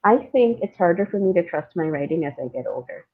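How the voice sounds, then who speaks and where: Woman from India, interview guest, very low voice, thoughtful and hesitant, wry about herself, thin band-limited audio. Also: expressive, fast talker, narrow, tinny audio. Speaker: guest, playwright and screenwriter. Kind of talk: reflective interview conversation.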